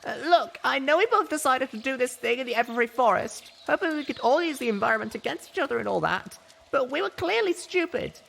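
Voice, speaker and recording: Young female pony for story reading, anxious and rambling, pitched way up male reader in falsetto. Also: expressive, brisk, creaky, fairly bright tone, long reverb tail, slight background noise, heavily acted. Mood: awkwardly pleading.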